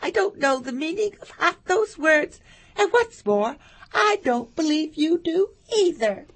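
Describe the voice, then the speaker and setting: A woman's voice: sits high, expressive, unhurried, voice pushed up. A woman voicing a small, cheeky young eaglet in a storybook, complaining bluntly. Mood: indignant.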